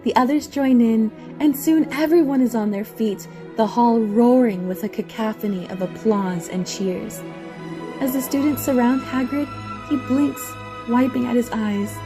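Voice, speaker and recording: A woman's voice: a little high, unhurried. A woman giving dramatic scripted narration for an audio play, with music behind her.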